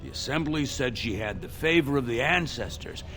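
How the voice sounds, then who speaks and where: An actor playing a gossipy, disapproving dwarf townsman in a fantasy game, telling tales with expressive indignation. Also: medium pitch, normal speed, faint music behind, close to an everyday voice. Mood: explaining. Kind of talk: video game voice acting.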